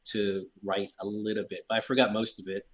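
A man from Hawaii who grew up speaking Cantonese chats as a guest translator. His voice sits low and a little husky, and he explains things in a calm, matter-of-fact way. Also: a little fast. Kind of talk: casual interview chat.

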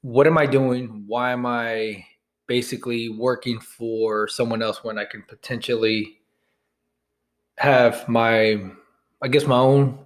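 Male podcast co-host, medium-pitched voice, rambling and frank, casual swearing. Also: fairly even intonation, takes it slowly. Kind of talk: casual chat.

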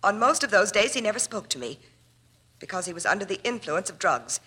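A woman's voice: medium pitch, very animated, brisk, sharp tone, breathy edge, heavily acted. A woman playing an anxious, genteel young heiress in an old-time radio drama, speaking formally and hesitantly. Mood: fearful, confiding. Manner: hesitant, formal, stammering over words.

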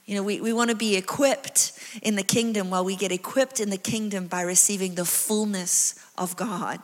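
A South African woman in her thirties preaching a sermon, chatty and animated, with lots of exclamations and asides. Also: medium pitch, moderate pace. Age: 30s. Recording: long reverb of a large hall.

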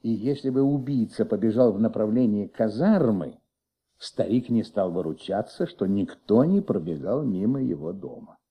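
An actor in a Soviet radio play playing a patient, shrewd middle-aged police commissaire with flu, questioning gently in a husky, creaky voice. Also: male voice, on the low side, lively intonation, moderate pace, muffled recording. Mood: earnest, explaining. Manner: gentle, coaxing, paternal questioning.

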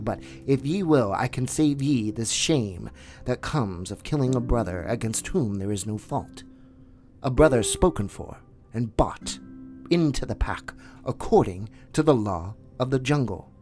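A man's voice: mid-range voice, animated, a little slow, a little mellow, faint music behind, close to an everyday voice. A man doing Akela, an old weary wolf leader. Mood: resigned. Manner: solemn, dignified pleading.

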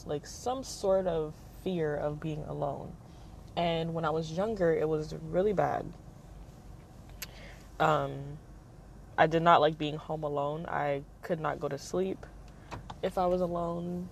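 A young woman hosting her own podcast, talking casually in a monotone, rambling manner with lots of fillers. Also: vocal fry, a little hiss or hum. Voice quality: monotone, low-key delivery. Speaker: podcast host. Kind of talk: casual personal monologue.

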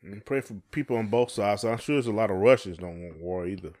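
Low-voiced man, casual. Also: fast, a little mellow. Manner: colloquial, conversational. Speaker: podcast co-host. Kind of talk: casual chat.

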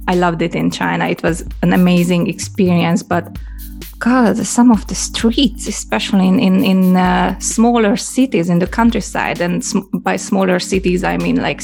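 Woman, Hungarian accent, rambling chatty co-host, gravelly, faint music under her. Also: fairly low pitch, a little mellow. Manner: rambling, chatty storyteller with fillers. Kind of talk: casual chat.

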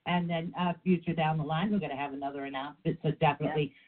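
A woman co-hosting a talk show, a bit sick with a rough, raspy voice, chatty and rambling with many restarts. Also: deep voice, steady tone, racing. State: under the weather, rough voice. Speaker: co-host of a paranormal talk show. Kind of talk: casual chat. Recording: phone-like narrow sound.

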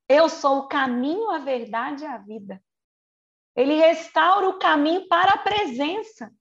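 A Brazilian woman in her forties preaches in a high voice with a noticeable creak. She moves between chatty asides and slow, emphatic key words, often putting questions to her listeners. The recording sounds slightly dull and lo-fi. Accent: Brazilian Portuguese. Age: 40s. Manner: frequent rhetorical questions and check-ins with listeners. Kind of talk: conversational sermon to a congregation.

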